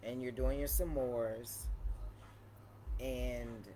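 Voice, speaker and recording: Woman vlogger, deep voice, sassy and emphatic, unhurried delivery. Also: steady tone, mellow, a little hiss or hum. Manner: sassy, playful, emphatic with repeated catchphrases. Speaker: vlogger reviewing wine. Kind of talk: casual wine tasting vlog.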